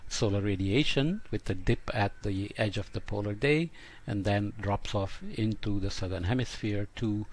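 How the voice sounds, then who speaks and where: Low-voiced man lecturing haltingly. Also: a little hiss or hum. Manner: halting, frequent uh pauses mid-sentence. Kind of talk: explanatory science lecture.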